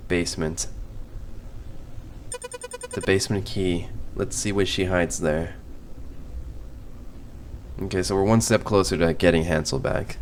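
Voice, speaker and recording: A man with a very low voice comments informally on what he is watching. He thinks out loud in a casual, conversational way, with faint noise behind him. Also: fairly even intonation, a little slow, pauses often. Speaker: reaction video host. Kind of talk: casual reaction commentary on a horror video.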